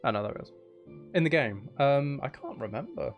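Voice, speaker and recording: A man with a mid-pitched voice hosts a casual gaming livestream over faint music. His intonation swings widely as he banters with viewers. He is playful and mock-dramatic, often poking fun at himself. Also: huge pitch swings, a little fast, muffled recording. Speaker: streamer hosting a live game stream.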